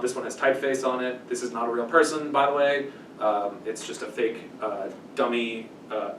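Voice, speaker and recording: A man in his thirties giving an informal workshop presentation in a casual, hedging way, often interrupting himself. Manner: casual, self-interrupting, hedging with kind of and sort of. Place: small meeting room, indoors. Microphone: distant camera mic. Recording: echoey room, distant mic, rumbling noise.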